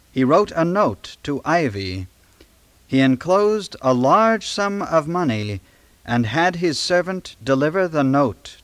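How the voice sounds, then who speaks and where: A man reading scripted storybook narration dramatically, in a measured, suspenseful manner. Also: medium pitch, no echo.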